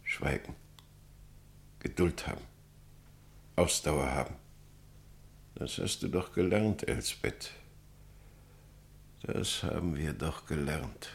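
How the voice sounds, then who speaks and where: An actor playing a resigned middle-aged pastor and father in a German radio drama, solemn, measured and subdued. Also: very deep voice, vocal fry, heavily acted. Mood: solemn resignation. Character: resigned, patient pastor, father of missing daughter.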